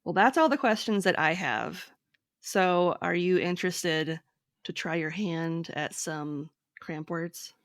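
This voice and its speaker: A woman host runs a friendly quiz in a slow, unhurried rhythm. Her voice is low and gravelly, with some vocal fry. The sound is dry and close, with no room around it. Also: no room sound. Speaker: podcast host. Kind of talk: casual quiz chat.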